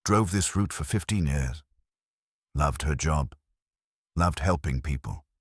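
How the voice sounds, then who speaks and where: Somber patrol officer, acted by a male narrator, quiet, measured, extremely low voice, ghost-story delivery. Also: lively intonation, breathy edge, dry recording, studio quality, full character voice.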